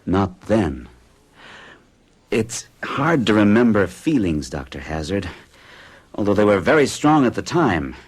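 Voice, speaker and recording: A man's voice: lowish voice, sing-song, a little slow, long pauses, breathy edge, heavily acted. An actor playing a young man who narrates his strange experience in a radio drama, earnest and hesitant. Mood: recounting. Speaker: narrator and patient.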